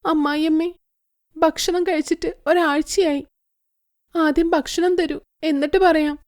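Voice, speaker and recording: A woman voicing a greedy young daughter-in-law in an animated story, a loud, shrill and bossy character. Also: measured pace. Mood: pleading.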